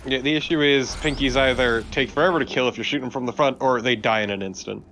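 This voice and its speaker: A man's voice: medium pitch, fast, a bit bright, a little hiss or hum. A man co-commentating on a video game in a casual chatty style. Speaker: let's play co-commentator. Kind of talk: casual gameplay chat.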